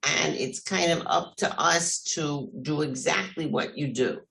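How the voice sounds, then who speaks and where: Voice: mid-range voice, unhurried, creaky, sharp tone, slight background noise, poor audio. A moderator in an online group meeting, summarizing and encouraging the speakers.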